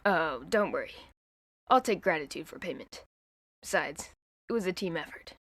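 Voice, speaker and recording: A woman playing an earnest young man who speaks formally and proudly, pitched well below her own voice. The sound is dry and close. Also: lively intonation, moderate pace, a bit bright, no echo, heavily acted.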